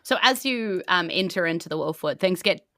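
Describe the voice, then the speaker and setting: A woman's voice: on the low side, lively intonation, brisk, bright, forceful tone, no room sound, clean studio sound. A woman with a New Zealand accent, narrating a tabletop game as dungeon master in casual, colloquial language.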